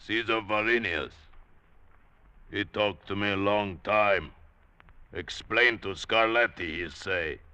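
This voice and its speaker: An actor playing a huge scarred gladiator slave in a radio drama, grim and menacing, speaking blunt broken English. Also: male voice, lowish voice, slow, pauses often, bright, forceful tone, smooth, no room sound, full character voice. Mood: grim menace. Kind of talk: old-time radio drama acting.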